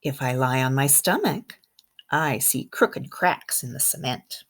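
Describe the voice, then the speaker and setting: Woman, kindergarten teacher type, low register, slightly husky, expressive and animated storybook reading with conversational asides for little listeners. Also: measured pace. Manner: expressive, engaging young listeners with questions and comments. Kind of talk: children's picture-book read-aloud with chatty asides.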